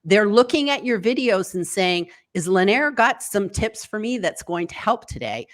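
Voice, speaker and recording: Lively woman coaching on camera confidence. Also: moderate pace. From United States. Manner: animated, jumps high for quoted thoughts. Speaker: guest, video coach. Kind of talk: online interview. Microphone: video call.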